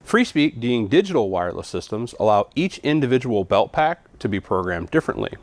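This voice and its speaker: A man's voice: fairly low pitch, big pitch swings, brisk, no echo. A man giving a scripted, methodical product walkthrough in an instructional way, as a trainer would.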